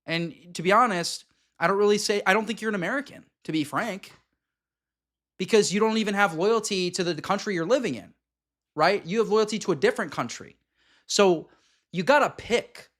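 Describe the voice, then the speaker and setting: High-voiced blunt male streamer. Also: fairly bright tone. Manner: blunt, emphatic, quick asides to viewers. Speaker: streamer commenting on a clip. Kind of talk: reaction stream commentary.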